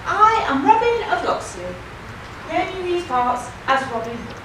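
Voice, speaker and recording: A woman plays a cheeky pantomime outlaw hero in a high, swooping voice. The delivery is bold and declamatory, full of banter, and carries from far off in a very echoey hall. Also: sing-song, a little slow, heavily acted. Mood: bold, teasing. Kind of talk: broad stage pantomime acting. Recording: echoey hall, distant mic, background noise.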